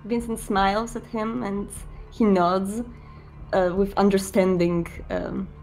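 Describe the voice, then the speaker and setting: A woman's voice: mid-range voice, level tone, slow pace, darkish tone, soft background music. A woman improvising narration for a tabletop roleplaying game, hesitantly piecing together descriptions phrase by phrase. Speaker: game player narrating the prince character.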